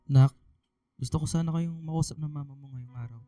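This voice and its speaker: A man with a Filipino accent playing a gentle, fond middle-aged father in a radio drama. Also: on the high side, steady tone, fairly quick, faint music behind, close to an everyday voice.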